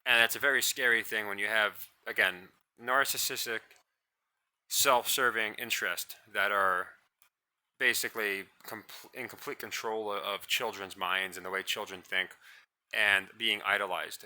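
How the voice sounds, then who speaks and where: Man, solo commentary rant, scornful, blunt, rambling, low-ish voice, sharp tense tone. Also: moderate pace. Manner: describes own voice as monotonous. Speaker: commentary channel host.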